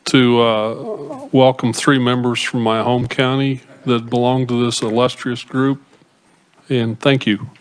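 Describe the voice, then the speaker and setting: A man on a legislative committee making brief remarks in a hearing. He speaks in a warm, effusive way. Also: medium pitch, flat delivery, slow pace, clean tone. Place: state legislature committee room.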